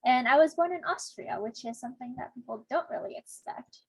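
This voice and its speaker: A woman's voice: high voice, a little fast, slightly rough, dry recording, rough recording. Chatty young woman TA on a video call. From Southern California. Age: young adult. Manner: chatty, filler-heavy, self-deprecating. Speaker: teaching assistant. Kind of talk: casual online discussion section intro.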